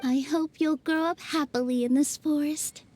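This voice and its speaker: A woman playing a gentle, earnest young forest fairy in an anime-style video game. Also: high-pitched, steady tone, normal speed, a little hiss or hum, close to an everyday voice. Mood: tender, welcoming.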